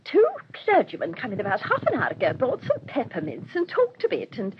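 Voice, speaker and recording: High-voiced, very animated shopkeeper. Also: female voice, a little fast. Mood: chatty. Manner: colloquial, animated. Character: chatty, helpful working-class female sweet-shop keeper. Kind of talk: radio crime drama acting. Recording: dull recording with faint noise.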